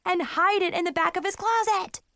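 A woman's voice: high-pitched, big pitch swings, a little slow, no echo, studio quality, pitched above the performer's own voice. A woman voicing an exasperated schoolgirl big sister in a storybook, bossy and emphatic as she scolds. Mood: exasperated yelling.

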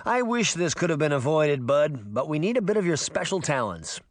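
A man's voice: on the high side, big pitch swings, normal speed, dry recording. A man voicing an easygoing, confident adventurer uncle in a storybook, friendly, reassuring and persuasive. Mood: friendly, determined.

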